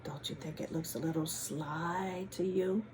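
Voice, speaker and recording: A woman reading a picture book aloud to children in a teacherly way, pausing to ask them questions and adding dramatic emphasis. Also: low voice, steady tone, darkish tone, a little hiss or hum. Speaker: children's story reader, former teacher. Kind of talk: animated picture-book read-aloud with chatty asides to children.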